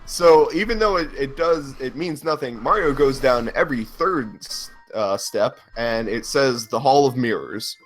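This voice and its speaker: A man's voice: mid-range voice, lively intonation, measured pace, few pauses, rough recording. A man chatting casually with a read-along group, with wry, dry humour. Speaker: member of a read-along crew. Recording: music playing behind the voice. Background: music.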